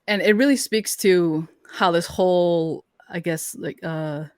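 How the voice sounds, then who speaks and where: A man's voice: high-pitched, unhurried, clean tone, no echo, clean studio sound. A young man co-hosting a podcast, talking informally and reflectively, as if thinking aloud. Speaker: podcast co-host. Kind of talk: casual conversational podcast talk.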